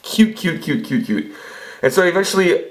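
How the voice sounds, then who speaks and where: A man's voice: sits high, big pitch swings, normal speed, low-quality recording. A man in his forties telling crude, rambling stories in a casual monologue, swearing often and restarting his sentences. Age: 40s. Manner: crude, profane, rambling storytelling with frequent restarts. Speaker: vlogger.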